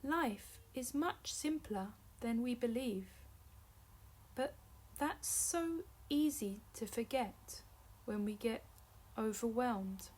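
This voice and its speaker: A woman narrating a guided meditation as a teacher, in measured short phrases separated by long pauses. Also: a little high, animated, a little mellow.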